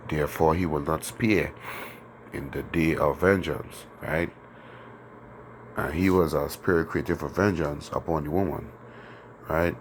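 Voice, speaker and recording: A man with a very low, steady voice, hoarse from a sore throat, teaching a scripture lesson. He speaks loosely and conversationally, checking in with his listeners again and again. A faint hiss of noise sits under the recording. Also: a little slow, pauses often. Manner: conversational, many fillers and tag questions. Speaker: teacher of a religious group.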